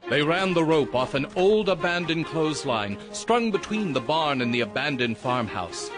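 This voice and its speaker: A man's voice: on the high side, animated, moderate pace. A man narrating a dramatized audiobook in a theatrical, emphatic way, savoring each phrase. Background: prominent music.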